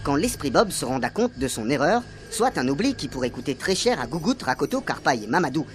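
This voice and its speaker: A woman's voice: sits low, very animated, fairly quick. A woman narrating a comic radio serial in a theatrical, suspenseful way. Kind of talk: dramatic comic scripted narration. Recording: loud music bed behind the voice.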